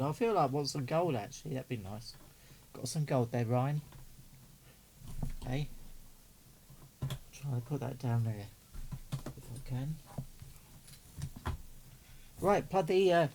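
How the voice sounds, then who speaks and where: A man's voice: medium pitch, expressive, slow pace, long pauses, a little mellow, a little hiss or hum, low-quality recording. A man giving casual, doting unboxing commentary as a hobbyist, chatting and muttering to himself as he works. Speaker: radio hobbyist vlogger.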